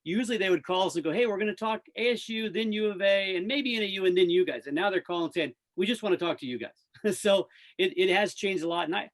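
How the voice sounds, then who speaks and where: A man's voice: high-pitched, fast, hardly any pauses. An American man, a radio play-by-play announcer, chatting casually and upbeat as a guest in a sports interview.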